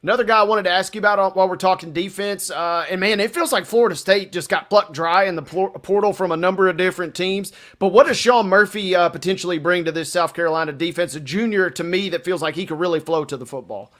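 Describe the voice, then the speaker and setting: Male sports co-host, high-pitched, casual, wordy and roundabout when putting questions to a guest. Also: fast, hardly any pauses, a bit bright. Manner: wordy, meandering questions with many asides. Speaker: sports show co-host interviewer.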